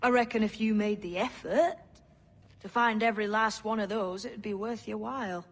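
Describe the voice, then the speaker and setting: An actor playing a devout young assassin in a video game, earnest and plain-spoken about liberty. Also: male voice, sits high, fairly even intonation, normal speed. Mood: calm, matter-of-fact. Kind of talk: video game cutscene acting. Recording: clear ambient noise behind the voice.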